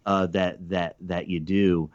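A man hosting a podcast interview, talking in a halting way with many ums and restarts. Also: low-pitched, level tone, dry recording, muffled recording. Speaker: podcast host.

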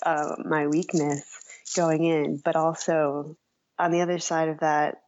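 A woman's voice: low voice, level tone, measured pace, a little mellow, dull audio. A woman being interviewed as a writer, speaking casually and reflectively in a hesitant, self-effacing way.

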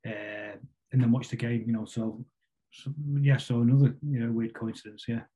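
A middle-aged man with a Manchester accent, chatting casually as an interview guest in a rambling, halting way full of tag questions. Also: mid-range voice, steady tone, mellow, muffled recording. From Manchester, England. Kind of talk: casual conversational football chat.

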